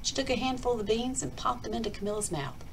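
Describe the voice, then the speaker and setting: A woman hosting storytime, reading aloud to children in an expressive, emphatic picture-book style. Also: on the high side, fast talker, a little hiss or hum. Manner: emphatic, addressing children directly. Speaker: storytime host, school reader.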